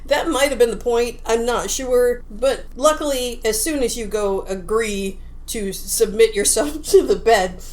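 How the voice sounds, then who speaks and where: A woman co-hosting a casual discussion and explaining a game in an amused, halting way. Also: on the high side, darkish tone. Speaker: podcast co-host.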